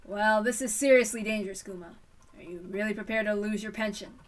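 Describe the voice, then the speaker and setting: A woman's voice: mid-range voice, brisk, recorded from a distance, natural voice. A woman voicing a wry older male detective who cautions emphatically, in a story reading. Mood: serious, checking.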